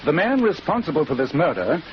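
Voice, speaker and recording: A brisk English detective from an old radio mystery. His voice is fairly high and lively in pitch, precise and commanding, through muffled sound. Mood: accusing. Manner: precise, authoritative, theatrical. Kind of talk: 1940s radio acting. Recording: muffled, noisy 1940s radio recording.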